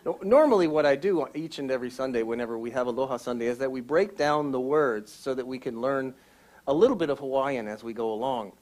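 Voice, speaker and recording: A man's voice: mid-range voice, quick pace, darkish tone. Man, church speaker, Sunday message, patient teaching tone, mid-range voice. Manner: explanatory, teaching tone. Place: church sanctuary.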